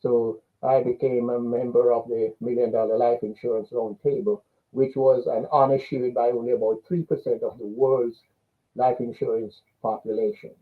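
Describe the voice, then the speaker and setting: A man's voice: medium pitch, little pitch movement, moderate pace, phone-quality sound. An older man from Jamaica with a Jamaican accent, telling his own story as a guest in an interview. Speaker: interview guest, business coach.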